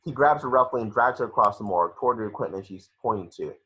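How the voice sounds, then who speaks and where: A man's voice: fairly low pitch, lively intonation, fast, sharp tone, breathy edge. A man narrating scripted action descriptions in an amateur table read, on a lo-fi home recording.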